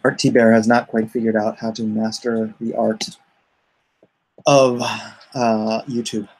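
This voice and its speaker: Male livestream host, husky voice, creaky edge, rambling casual chat, joking. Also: fairly low pitch, flat delivery, unhurried, darkish tone, rough recording. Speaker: comic artist hosting a livestream. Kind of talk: casual livestream chat, reading viewer comments aloud.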